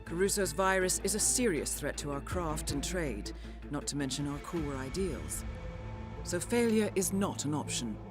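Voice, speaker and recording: An actress playing a composed female intelligence handler, giving formal, measured, precise briefings in a video game. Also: low voice, lively intonation. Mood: composed, grave. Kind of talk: scripted mission briefing narration. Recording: music behind the voice.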